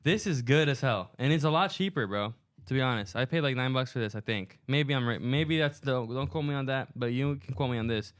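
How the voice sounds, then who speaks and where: A young man hosts a laid-back podcast with a smooth, clean voice. He talks into a headset mic in a studio, loose and slangy, with plenty of fillers. The sound is dry and close. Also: medium pitch, a little fast, hardly any pauses, dry recording. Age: young adult. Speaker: podcast host. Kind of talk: casual podcast chat. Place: booked recording studio.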